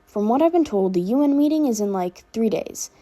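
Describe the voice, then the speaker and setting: Sardonic, affectionate big-brother teen boy, female reader, casual rambling delivery, soft dark tone, slightly raspy. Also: fairly high pitch, lively intonation, fairly quick. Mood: earnest, teasing. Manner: casual, rambling teen talk with filler words. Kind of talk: fan fiction audiobook character dialogue.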